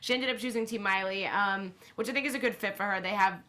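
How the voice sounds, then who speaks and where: Bright, raspy woman leading the panel. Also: medium pitch, fast talker. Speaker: co-host of a TV after-show. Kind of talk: casual panel chat.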